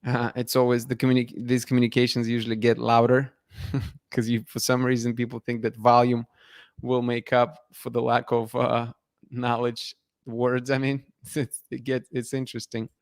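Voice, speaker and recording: A man's voice: mid-range voice, monotone, moderate pace, dry recording, studio quality. A man from Russia, a channel host chatting casually and answering viewer comments with frequent restarts and laughs. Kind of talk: casual live-stream chat reading viewer comments.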